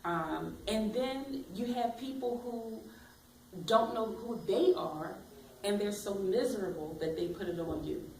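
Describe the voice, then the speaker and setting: A woman career coach giving bold, expressive motivational advice in an interview. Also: mid-range voice, a little slow, a little hiss or hum. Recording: echoey room, mic at a distance.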